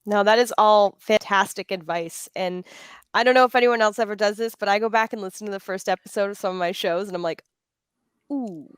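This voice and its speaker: A woman's voice: medium pitch, a little fast, clean tone, no echo. A woman moderating a casual panel discussion in an encouraging, affirming way. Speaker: panel moderator and podcast creator.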